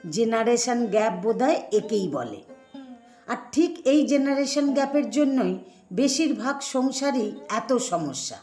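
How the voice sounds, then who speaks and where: A woman voicing a retired Bengali mother-in-law, quietly disapproving and self-restrained, in an expressive, conversational story reading. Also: on the high side. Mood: reflective, wry. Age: older. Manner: conversational, expressive, rhetorical questions. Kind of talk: first-person dramatic story reading. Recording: echoey voice over sitar music.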